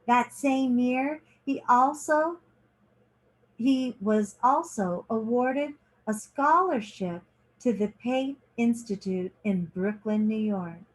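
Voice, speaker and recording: A woman reading a children's picture book aloud in short, deliberate phrases, like a teacher. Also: on the high side, poor audio.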